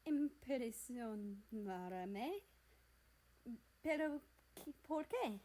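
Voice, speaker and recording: A woman's voice: lively intonation, very slow, warm tone, full character voice, poor audio. A woman voicing a sweet, shy, easily flustered male friend in a story, with a bashful manner. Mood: confused. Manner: squeaky when flustered, bashful.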